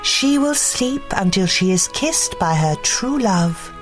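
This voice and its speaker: A woman's voice: mid-range voice, expressive, slow pace, faint music behind, pitched above the performer's own voice. A woman voicing a feisty, stubborn female fairy in a children's storybook, with an emphatic manner. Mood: earnest.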